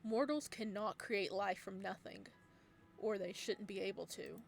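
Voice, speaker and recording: A woman voicing an ancient male god from a visual novel, gentle and soft-spoken, stammering when flustered. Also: mid-range voice, measured pace, vocal fry, soft background music. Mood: calm. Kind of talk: visual novel dialogue read aloud.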